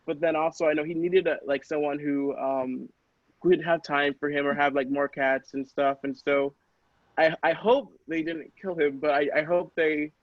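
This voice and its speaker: A man's voice: a little high, fairly even intonation, normal speed, dry recording. A man casually telling a personal story in a rambling, hesitant, self-questioning way. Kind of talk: casual personal anecdote chat. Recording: dull, dry, lo-fi audio.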